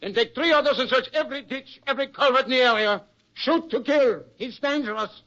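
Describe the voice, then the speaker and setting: Very high-pitched, barking military commander. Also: male voice, a little fast. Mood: barking, urgent. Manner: barking, urgent. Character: harsh German military commander giving orders. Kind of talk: 1940s radio acting. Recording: muffled old radio, music over voice.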